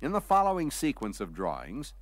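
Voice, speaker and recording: A man reading a scripted vintage training film narration in a measured, clearly articulated, explanatory manner. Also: medium pitch, wildly swooping pitch, dry recording.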